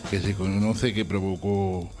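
Casting: hombre andaluz, voz grave y pausada, relato coloquial, música suave detrás. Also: male voice, deep voice, steady tone, darkish tone. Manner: laid-back, slangy storytelling. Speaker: band member recounting events. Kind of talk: documentary-style testimony.

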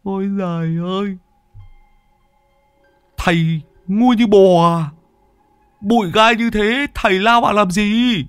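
A jittery young apprentice stammers and begs in a high, drawn-out voice, as a male storyteller puts him on. Every word comes slowly and shakily. The sound is dry and close. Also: steady tone, clear, resonant tone, no room sound, faint music behind. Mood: exasperated. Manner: stammering, pleading. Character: timid young male apprentice, easily frightened.

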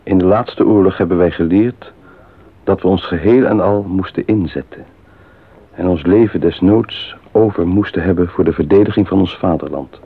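A deep, dutiful missionary. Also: male voice, moderate pace, vocal fry, husky, full character voice. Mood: earnest appeal. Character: dutiful male missionary, former wartime serviceman. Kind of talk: solemn radio drama monologue. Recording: narrow phone-like old radio sound.

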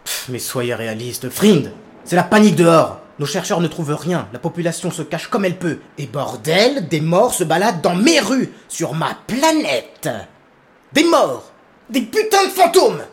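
A man's voice: fairly high pitch, big pitch swings, moderate pace. A man acting a weary, desperate leader of a doomed planet in a French sci-fi audio drama, exasperated and sighing. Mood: exasperated, angry.

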